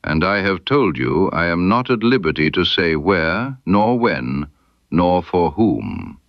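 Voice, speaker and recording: A man voicing a proud, stubborn sculptor in an audiobook, scornful of flattery, with blunt, emphatic, formal period speech. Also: deep voice, takes it slowly, dry recording, lo-fi sound, heavily acted. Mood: wary, defiant. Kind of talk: character dialogue in an audiobook.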